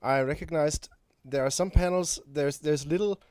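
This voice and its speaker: A man talking casually as a guest composer, in a halting, hesitant way with repeated words. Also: on the high side, darkish tone, no echo, studio quality. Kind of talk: casual chat.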